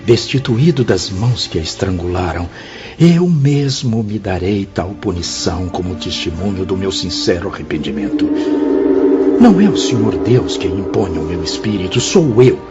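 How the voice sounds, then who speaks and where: Radio drama penitent: lowish voice, solemn, fervent, declamatory, loud music behind. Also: male voice, lively intonation, moderate pace, lo-fi sound. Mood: anguished, resolute. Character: remorseful male spirit of a suicide and murderer, pleading for penance.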